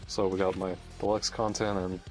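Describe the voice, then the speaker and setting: A man's voice: low voice, flat delivery, moderate pace, faint music behind. A man giving casual unboxing commentary as host, muttering to himself as he handles things. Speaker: host of a game unboxing video.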